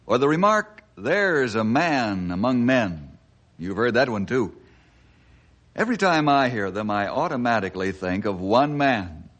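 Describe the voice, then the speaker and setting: A man playing a friendly, steady forest ranger who narrates and acts in an old-time radio drama, in a folksy storytelling style. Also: mid-range voice, measured pace. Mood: folksy, admiring. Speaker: narrator and lead character.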